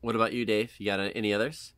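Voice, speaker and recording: A man's voice: fairly low pitch, flat delivery, rapid-fire, fairly bright tone, no echo. A man co-hosting a podcast, chatting casually in slangy, informal language. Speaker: podcast co-host. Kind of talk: casual chat.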